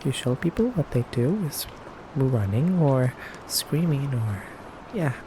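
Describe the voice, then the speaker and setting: Man acting as a slow-talking, teasing vampire captor. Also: medium pitch, very animated. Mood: knowing, composed. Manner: slow, hesitant, teasing, quietly threatening. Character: soft-spoken menacing beautiful male vampire captor, teasing interrogator. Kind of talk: ASMR roleplay addressed to the listener. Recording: clearly noisy background ambience.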